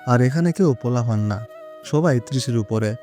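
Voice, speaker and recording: A man's voice: medium pitch, normal speed, warm tone, faint music behind, close to an everyday voice. An actor playing an exasperated, casual grown son in his thirties with a Bangladeshi Bengali dialect, in an audio story. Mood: placating, exasperated.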